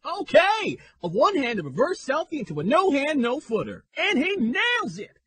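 A man playing an excitable cartoon sports commentator with an exuberant delivery. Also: very high voice, normal speed, no room sound, full character voice. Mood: excited. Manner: exuberant, exclamatory. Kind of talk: play-by-play sports commentary parody.

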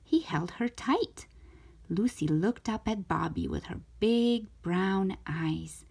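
A woman narrating a children's story aloud in an expressive, careful storytelling manner, as a storytime host. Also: mid-range voice, takes it slowly, creaky, breathy edge. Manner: animated, careful storytelling delivery.

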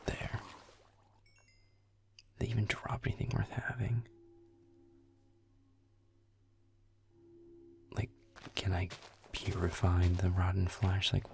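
A surly werewolf boyfriend, played by a man, mutters through a gaming roleplay. His voice is very deep and gravelly, often sinking into a near whisper. He sounds sarcastic and irritable, swearing under his breath. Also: steady tone, measured pace, long pauses, a little mellow. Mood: grudging, annoyed. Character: grumpy sarcastic tsundere werewolf boyfriend playing games.

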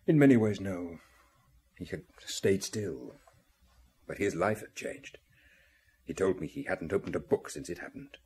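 A middle-aged family man in a radio play, weighed down by guilt. His voice is low and badly roughened, and he confesses in a grave, halting way. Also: expressive, warm tone, exaggerated voice. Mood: bitter, troubled. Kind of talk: radio drama acting.

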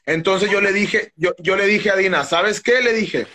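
A man's voice: sits high, normal speed, sharp tone. A man, rambling through a story on a phone video call, stumbling and restarting and swearing freely. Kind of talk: casual chat on a live video call. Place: indoors, sitting on a couch.

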